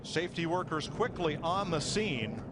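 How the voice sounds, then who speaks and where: A man's voice: fairly high pitch, lively intonation, moderate pace. A man calling a live motor race as lead commentator. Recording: loud engine noise behind. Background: roaring race cars at a speedway.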